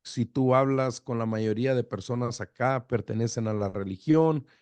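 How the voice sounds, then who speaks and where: A middle-aged pastor from El Salvador, with an accent mixing Salvadoran and Guatemalan Spanish, speaking in a relaxed radio interview full of fillers and restarts. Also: male voice, medium pitch, normal speed, smooth, dry recording. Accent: Salvadoran-Guatemalan border Spanish. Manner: relaxed, hesitant with fillers and repeated words. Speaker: pastor, guest.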